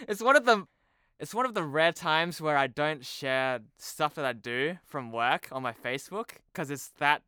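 A young man talks casually as an interview guest, with a bright, forward voice that is exceptionally clean and smooth. The sound is dry and close, and his manner is informal and a little self-deprecating. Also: on the high side, lively intonation, normal speed, dry recording. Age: young adult. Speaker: podcast guest, TV promo producer. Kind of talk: casual chat. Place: remote video call.

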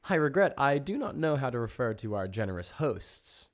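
A man's voice: medium pitch, sing-song, moderate pace, voice pushed up. A man voicing a stern but respectful human ambassador, formal and courteous, in a story.

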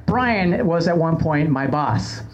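A woman's voice: sits low, animated, slow pace, muffled recording. A woman hosting a live comedy talk show from a stage, as a podcast host. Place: stage in front of an audience. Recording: live stage recording, clear background noise.

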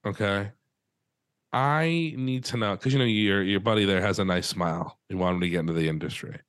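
A man's voice: lowish voice, a little fast, a bit bright. A man co-hosting a podcast, bantering casually and joking about himself. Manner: joking, self-mocking banter. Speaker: podcast co-host.

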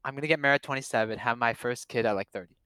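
A man's voice: mid-range voice, racing, bright, forceful tone, clear, resonant tone, dry recording. A man in his late twenties from Canada, a Cantonese speaker, telling rambling, profane, self-mocking stories in a casual chat. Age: 20s. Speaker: guest.

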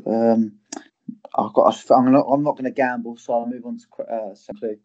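A man's voice: medium pitch, steady tone, brisk, darkish tone, highs cut off. A man playing a quiz, thinking aloud with hesitant ums. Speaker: quiz contestant. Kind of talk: casual quiz game chat.

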